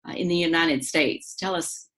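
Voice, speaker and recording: A woman's voice: low-pitched, normal speed, fairly bright tone. A woman hosting an interview, introducing her guest politely and with a few fillers. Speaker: host.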